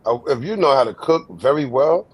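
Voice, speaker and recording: A man's voice: mid-range voice, animated, a little fast, husky, poor audio. A man co-hosting a podcast, making crude jokes as he chimes in. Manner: crude, joking. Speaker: podcast co-host. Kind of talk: casual podcast debate.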